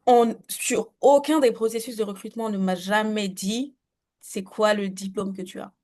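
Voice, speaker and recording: Casting: young female interviewer, mid-pitched voice, informal, animated conversational delivery. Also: moderate pace.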